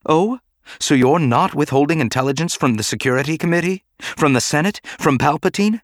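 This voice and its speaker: A man's voice: mid-range voice, moderate pace, dry recording, clean studio sound, lightly acted. A man voicing an earnest young female senator in a dramatic audiobook, with an urgent, insistent manner. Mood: biting, angry. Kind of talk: dramatic audiobook character acting.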